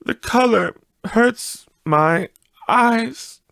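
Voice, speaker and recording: Man acting weary grieving mother, gentle, wistful, higher pitch, storybook character, dry sound. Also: lively intonation, drawn-out words, vocal fry, dry recording, exaggerated voice. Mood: tender sorrow.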